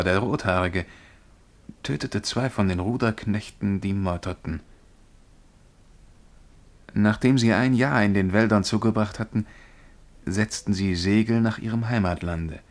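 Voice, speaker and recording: A man's voice: fairly low pitch, gravelly. An actor playing a touchy, self-satisfied, dreamy young man in a radio play, speaking with earnest self-assurance.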